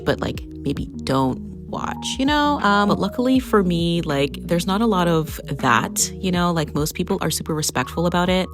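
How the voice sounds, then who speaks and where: Young woman, raspy tired voice, lowish pitch, casual rambling chatter, music behind. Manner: candid, rambling, uses "like" and "you know" often. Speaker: nail tech vlogger.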